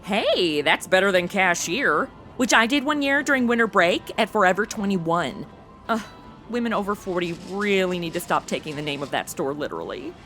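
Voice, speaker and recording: A young woman playing a snarky, excitable modern gal in a radio comedy, gushing and sassy. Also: mid-range voice, normal speed, soft background music, lightly acted. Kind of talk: comic narration and banter in modern slang.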